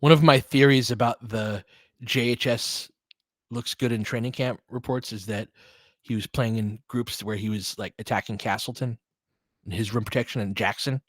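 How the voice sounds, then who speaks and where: A man's voice: medium pitch, fairly even intonation, moderate pace, fairly bright tone, no room sound. A man doing casual live basketball play-by-play, talking conversationally and adding his own opinions as he goes. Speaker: play-by-play commentator and host. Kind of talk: casual live basketball commentary with fan chat.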